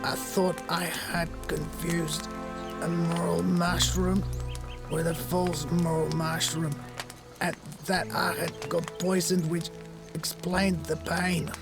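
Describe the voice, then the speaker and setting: An actor playing a gravely sick, frightened male villager who speaks weakly in halting phrases. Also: on the high side, level tone. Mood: weak, pained. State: ill, in pain, weak. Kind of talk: video game voice acting. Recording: music behind the voice.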